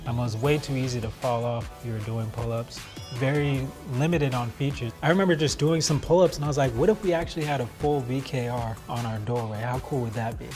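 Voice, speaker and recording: A man's voice: mid-range voice, a little fast. A man in his thirties talking casually and enthusiastically as an inventor presenting his product in a promotional interview. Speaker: product inventor, mechanical engineer. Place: large open office, indoors. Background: background music.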